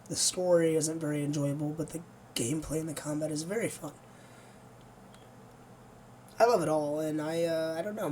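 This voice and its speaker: A gaming streamer with a hoarse, nearly lost voice, chatting casually and rambling to viewers before playing. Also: mid-range voice, warm tone, a little hiss or hum. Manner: rambling, easygoing, many fillers.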